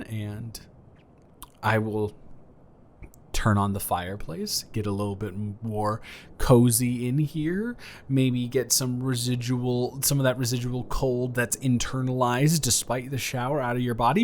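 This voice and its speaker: A man's voice: mid-range voice, a little hiss or hum, lightly acted. A man improvising a romantic audio roleplay as a flirty, playfully boastful neighbor, talking straight to the listener in a teasing, stammering, rambling way.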